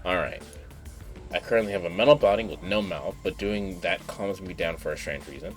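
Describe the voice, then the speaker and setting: A man's voice: deep voice, fairly quick. A man doing casual live stream commentary while reading game text aloud, with offhand asides. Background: game music.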